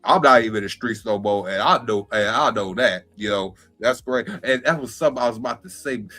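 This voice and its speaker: Man, co-host, lowish voice, slangy, faint music behind. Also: a little fast, sharp tone. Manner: slangy, trailing fillers. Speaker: co-host, novice comedian. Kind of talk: casual talk-show chat.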